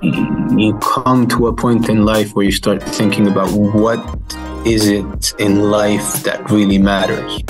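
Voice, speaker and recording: A man in his thirties from Finland, answering interview questions thoughtfully and pausing often with fillers. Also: fairly low pitch, level tone, over music. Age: 30s. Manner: thoughtful, with many fillers and restarts. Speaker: guest.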